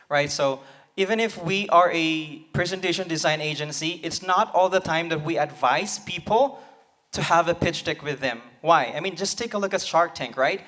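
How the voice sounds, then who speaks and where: A man's voice: on the high side, pushed and bright. A man on a conference panel, explaining his point with rhetorical questions. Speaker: panelist, presentation design agency founder. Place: conference hall stage.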